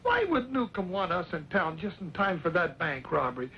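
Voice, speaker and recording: A folksy Western sidekick with a notably high voice. He is excitable and loyal, quick to exclaim in cowboy slang. The recording is dull, with a faint layer of old radio noise. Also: exaggerated voice. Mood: indignant. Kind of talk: mid-century Western drama acting.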